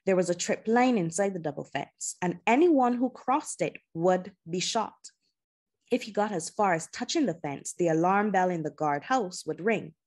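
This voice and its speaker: Low-pitched woman reading a story aloud. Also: animated, normal speed, a little mellow. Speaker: reader of a children's novel. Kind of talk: audiobook-style narration of a story.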